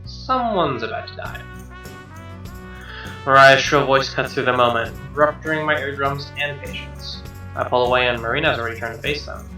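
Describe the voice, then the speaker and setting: A man doing an expressive read-aloud of a game's narration, with casual asides. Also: mid-range voice, normal speed. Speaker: gamer reading a visual novel aloud. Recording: roomy, dull lo-fi mic. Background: game music.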